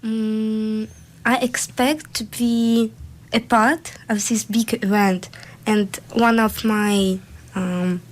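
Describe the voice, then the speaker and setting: A young woman from Russia, co-hosting a live radio chat in a studio, halting as she searches for words. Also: a little high, fairly even intonation, low-quality recording. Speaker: radio co-host. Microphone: studio microphone.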